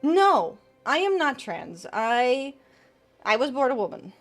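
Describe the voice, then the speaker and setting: A woman's voice: high-pitched, sing-song, slow pace, soft background music. A woman VTuber streamer chatting casually with her viewers and giving tarot readings. She laughs often and trails off and restarts as she talks.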